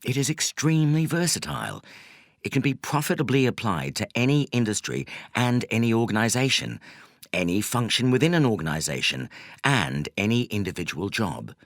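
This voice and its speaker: A man reading a nonfiction audiobook as narrator, in a scripted style with measured, deliberate phrasing. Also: mid-range voice, lively intonation, fairly bright tone, no echo, studio quality.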